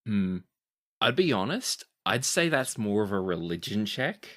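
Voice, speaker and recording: A man's voice: on the low side, big pitch swings, measured pace, a bit bright. A man acting as game master, improvising narration and rulings for a tabletop roleplaying game.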